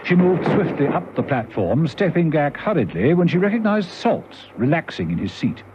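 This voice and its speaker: A man narrates a radio thriller in a wry, dramatic storytelling style. His medium-pitched voice moves expressively, on a dull recording with clear background noise. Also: sing-song, moderate pace. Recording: noisy, muffled old radio drama recording.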